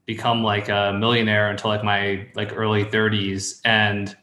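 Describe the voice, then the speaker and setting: A man's voice: fairly low pitch, monotone, moderate pace, pushed and bright, roomy sound, a little hiss or hum. A man in his thirties giving casual advice in a chat, rambling and thinking aloud as he goes. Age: 30s. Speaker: call-in show host and copywriting mentor.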